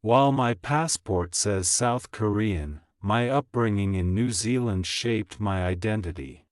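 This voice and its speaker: A man reading English practice sentences aloud, slowly and clearly, for learners to repeat. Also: lowish voice, dry recording, clean studio sound. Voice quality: plain, even reading of each sentence, repeated. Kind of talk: slow, clear scripted reading for shadowing practice.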